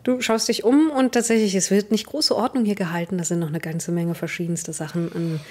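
Slightly husky young woman narrating a game. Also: mid-range voice, fairly even intonation, fairly quick, warm tone. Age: young adult. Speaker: game master. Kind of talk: narrating a tabletop role-playing game. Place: indoor home streaming setup. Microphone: headset.

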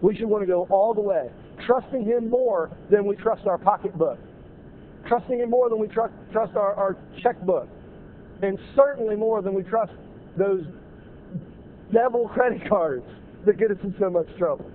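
A man in his thirties delivers a sermon, his voice pitched high. He presses his points hard and repeats key phrases. The lapel-mic audio sounds thin and phone-like, with a faint hiss behind it. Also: moderate pace. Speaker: pastor. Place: large church sanctuary with choir behind. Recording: tinny narrow-band audio, faint noise.

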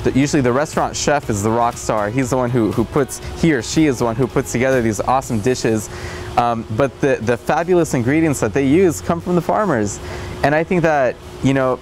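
Young man explaining his farm. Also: medium pitch. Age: young adult. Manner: earnest, frequent fillers and restarts. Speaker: farm company founder. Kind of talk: interview. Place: outdoors in a greenhouse. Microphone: lapel.

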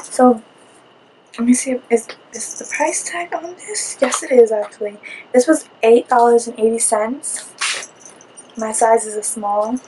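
A young woman with a slightly high voice, raspy because she is sick, talking straight to the camera. She chats loosely and rambles, filling the gaps with "like". A faint hiss of room noise sits under her voice from the camera mic in a small curtained room. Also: measured pace, darkish tone, poor audio. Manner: chatty, rambling, with many "like" fillers. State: raspy from a cold. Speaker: beauty vlogger. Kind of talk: casual haul video chat.

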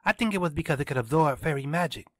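An earnest teenage boy , played by a male narrator in a story reading. He talks quickly in a lifted voice, insistent and sure of his point. The sound is dry. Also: a little high, lively intonation, no room sound, pitched above the performer's own voice, close to an everyday voice. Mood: earnest, certain.

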